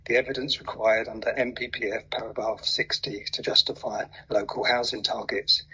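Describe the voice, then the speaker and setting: A man's voice: mid-range voice, pushed and bright, lo-fi sound, a little hiss or hum. A man, reading a formal, legalistic prepared objection to a planning committee in an emphatic way. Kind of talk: formal prepared statement read aloud at a public meeting.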